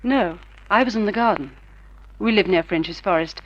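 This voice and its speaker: An actress playing a poised, polite and serious wife in an old radio drama. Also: female voice, medium pitch, normal speed, close to an everyday voice. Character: poised, exasperated wife seeking a detective's help. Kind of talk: mid-century radio drama acting. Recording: muffled vintage radio drama recording.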